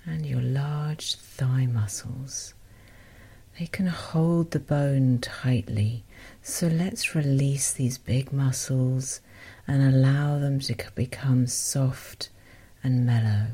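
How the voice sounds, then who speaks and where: A woman softly guiding a meditation as host, in a gentle, unhurried, breathy voice that often nears a whisper. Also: rumbling low. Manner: gentle, unhurried, softly spoken with short phrases. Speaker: meditation guide and podcast host. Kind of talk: guided meditation, soothing instruction.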